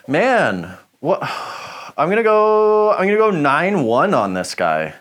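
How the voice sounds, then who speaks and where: A man giving a relaxed, chatty review, rambling with lots of fillers as he chops. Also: on the high side, slow pace. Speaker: knife shop reviewer. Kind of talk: casual product review while chopping.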